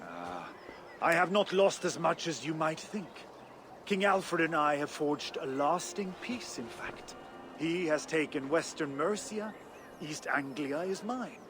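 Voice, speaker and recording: A man playing a hearty Viking conqueror turned devout and gentle in a video game, speaking in an unhurried, reflective way. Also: fairly high pitch, expressive, noisy background. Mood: earnest, serene. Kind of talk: dramatic video game acting.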